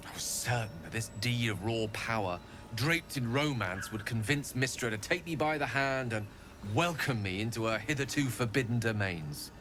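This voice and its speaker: An actor playing a learned, earnest wizard in a fantasy video game, speaking in florid, measured, dramatic phrases. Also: male voice, medium pitch, animated, over music, lightly acted. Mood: wistful, confessional. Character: learned male wizard, eloquent, theatrical, earnestly remorseful.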